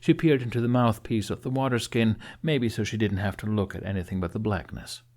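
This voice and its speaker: A man's voice: lowish voice, brisk, darkish tone. A man reading scripted fantasy narration as an audiobook narrator.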